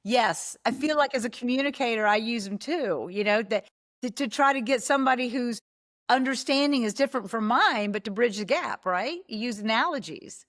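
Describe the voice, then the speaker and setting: Podcast host lady, higher voice, gushes, keeps asking questions. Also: expressive, fairly quick, a bit bright. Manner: enthusiastic, prompting questions. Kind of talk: casual interview talk.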